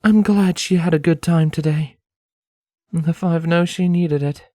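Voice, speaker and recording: A man voicing a cautious, pragmatic female pony who is protective and wry, speaking in a plain, measured, slightly formal way for a storybook reading. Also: on the high side, fairly even intonation, warm tone, no echo, studio quality, voice pushed up, natural voice. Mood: tender.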